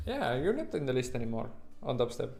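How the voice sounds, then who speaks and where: Halting Estonian-accented man, livestream host. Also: medium pitch, wildly swooping pitch, creaky, darkish tone. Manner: halting, rambling, informal, occasional swearing. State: sleep-deprived. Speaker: livestream host, futures trader. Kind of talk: casual livestream chat with viewers.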